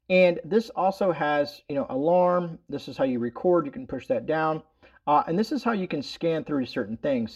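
Guy doing a casual hands-on review at home, kinda high voice, folksy and rambly, bit dull sound. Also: darkish tone. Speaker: host of a product review video. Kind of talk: casual product demonstration. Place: indoors at home.

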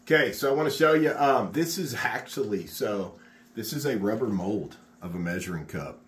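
A man's voice: lowish voice, expressive, normal speed, echoey room, noisy background. A middle-aged man, a contractor, giving a casual, encouraging how-to demonstration while he works in an unfinished garage workshop, recorded on a phone camera. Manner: chatty and encouraging, talks while working. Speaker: contractor teaching epoxy work.

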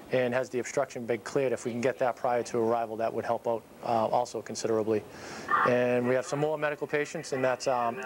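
A man in his thirties giving an informal training talk as an instructor, explaining things in a halting, matter-of-fact way. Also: medium pitch, slight background noise. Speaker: paramedic instructor. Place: small dispatch office, indoors. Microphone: clip-on lapel mic.

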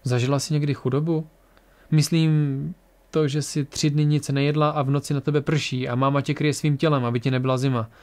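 Brief: man reading a soft-spoken young man, hurt but earnest. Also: on the high side, level tone, lightly acted. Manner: quiet, earnest. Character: young man from a poor world, quiet and hurt.

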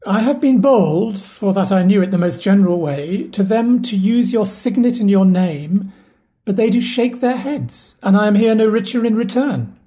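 A woman playing a loyal, sorrowful household steward in a Shakespeare audio play, speaking the verse in an earnest, measured way. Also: medium pitch, warm tone, natural voice. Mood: troubled, regretful.

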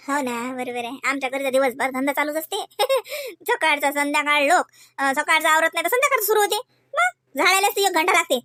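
A woman's voice: sits high, fairly quick, bright, forceful tone, no echo, clean studio sound. A woman voicing homely village women in a comedy cartoon, gossiping in folksy Varhadi Marathi from Vidarbha. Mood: chatty, grumbling.

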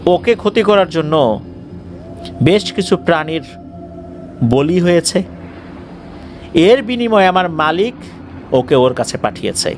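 A man acts out a defiant evil jinn from a horror tale, threatening and drawn-out in delivery. The voice swings widely in pitch, with ambient noise behind it. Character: menacing, defiant male evil jinn speaking from the air.

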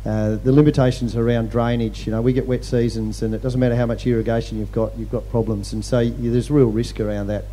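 A man on a conference panel, speaking in measured phrases that trail off quietly. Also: mid-range voice, level tone, a little hiss or hum, low-quality recording. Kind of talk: panel discussion. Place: large hall stage.

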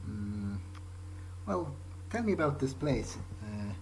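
A man's voice: mid-range voice, sing-song, slow, a little hiss or hum, low-quality recording. A man roleplaying in character as he plays a video game, thinking aloud in a hesitant, musing way. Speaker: gamer playing in character. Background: prominent game music.

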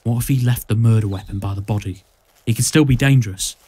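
A man's voice: medium pitch, fast, darkish tone, slightly rough, natural voice. A man playing an earnest, nervous rookie policeman in an audio drama, deferential and full of questions. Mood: uneasy.

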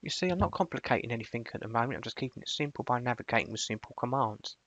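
A man presenting a screencast software tutorial, switching between casual explanation and clipped spoken commands. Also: medium pitch, animated, racing, no echo, highs cut off.

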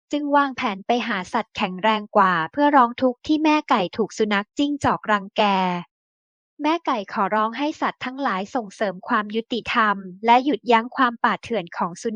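A woman narrating a fable in a steady, clear reading voice. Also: fairly high pitch, no room sound. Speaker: storyteller. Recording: dull lo-fi audio.

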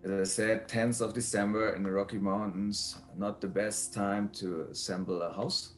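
A man presenting slides informally, speaking haltingly with frequent ums as he explains his work. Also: fairly low pitch, flat delivery, faint music behind. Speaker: presenter, prefab builder.

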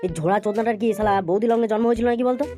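A man voicing an excitable, crude, pleading henchman in an amateur comedy cartoon. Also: high voice, rapid-fire, faint music behind, lightly acted. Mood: teasing, grumbling.

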